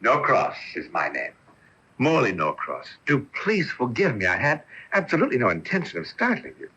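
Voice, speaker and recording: An actor playing a charming, mysterious gentleman of about forty, speaking suavely and formally in a radio mystery drama. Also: mid-range voice, wildly swooping pitch, normal speed, husky, exaggerated voice. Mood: urbane. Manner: suave, formal, old-fashioned turns of phrase. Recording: dull, lo-fi AM radio tape.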